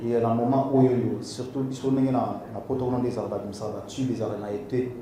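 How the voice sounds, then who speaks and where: A middle-aged Congolese man with a Congolese French accent, a singer answering at a press conference, mixing French and Lingala and pausing often with fillers. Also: medium pitch, steady tone, dark tone, a little hiss or hum. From Democratic Republic of the Congo. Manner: hesitant, many fillers. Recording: very echoey room from far off, crowd.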